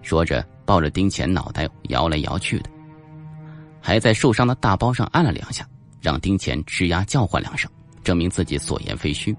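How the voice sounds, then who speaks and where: A man's voice: low voice, sing-song, moderate pace, faint music behind. A man giving a scripted narration of a suspense novel as an audiobook narrator.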